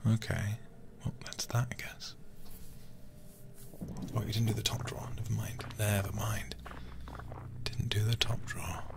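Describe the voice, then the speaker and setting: A man doing hushed ASMR game commentary as a livestreamer, murmuring softly and often whispering close to the microphone. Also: lowish voice, flat delivery, a little slow, long pauses. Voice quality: soft, breathy, often whispered. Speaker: livestreamer playing a horror game.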